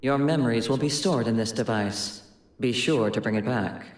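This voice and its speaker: An actor playing a detached, officious overseer giving a formal, matter-of-fact briefing in a video game. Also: male voice, mid-range voice, normal speed, natural voice. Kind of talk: cinematic video game acting. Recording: echoey, reverberant voice.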